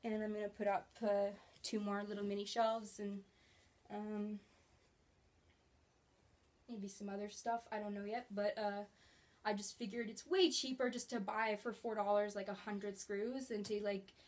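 A woman's voice: medium pitch, little pitch movement, unhurried, darkish tone. A young woman casually chatting through a grocery haul in her small apartment, bubbly and gesturing as she talks, recorded on a camera a few feet away. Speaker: vlogger. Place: small studio apartment bedroom, indoors.

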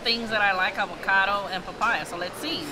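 A woman's voice: mid-range voice, fairly even intonation, a little fast, rough recording. A young woman casually reviewing dishes at a restaurant table, enthusiastic and savoring each bite. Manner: enthusiastic tasting, lots of "mm" and "so good". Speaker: food vlogger. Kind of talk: casual food review chat. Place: busy restaurant dining room. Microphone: camera across the table. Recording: loud diners all around. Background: loud restaurant crowd chatter.

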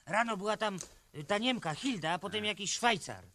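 Bright, high-voiced confident boy scout. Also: lively intonation, a little fast, slight background noise. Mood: brisk, confident. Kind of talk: 1970s radio play acting.

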